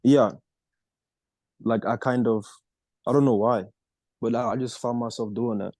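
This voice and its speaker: A man's voice: mid-range voice, fairly even intonation, measured pace, warm tone, no echo. A young American man chatting casually as a podcast co-host, rambling with lots of fillers. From United States. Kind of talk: casual chat.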